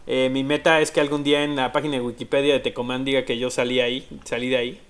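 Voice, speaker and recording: A man's voice: medium pitch, quick pace, clear, resonant tone. A man from Mexico with a Mexican accent, a pastor and podcast co-host, chatting casually and laughing easily. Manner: lively, laughing, many "este" fillers. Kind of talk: casual interview talk.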